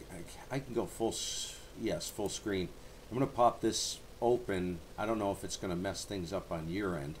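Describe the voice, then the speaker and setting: Male radio hobbyist, fairly low pitch, slangy casual chat, faint noise behind. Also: a little mellow. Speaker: amateur radio operator and live streamer.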